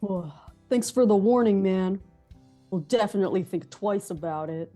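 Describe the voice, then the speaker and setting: A man's voice: high-pitched, normal speed, dark tone, soft background music, lightly acted. A man playing a formerly shy, now confident bar patron in an amateur table read. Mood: self-satisfied.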